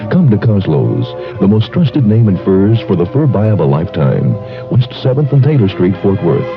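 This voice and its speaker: A gravelly American man announces a vintage radio commercial with formal, measured salesmanship. His low voice moves widely in pitch over music. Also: sing-song. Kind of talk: scripted 1970s radio advertising. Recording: dull 1970s radio broadcast, music behind.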